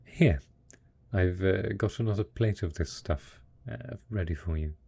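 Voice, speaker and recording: A man acting as a devoted, hopeful survivor in an intimate audio roleplay, talking tenderly and coaxingly to a spouse, with a husky, gravelly voice. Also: deep voice, a little slow, warm tone, a little hiss or hum. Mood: thoughtful, caring.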